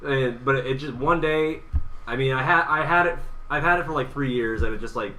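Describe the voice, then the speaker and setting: A man's voice: medium pitch, brisk. A man co-hosting a gaming podcast in casual, sometimes profane chat. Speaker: podcast co-host. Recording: distant mic, bright, faint background noise.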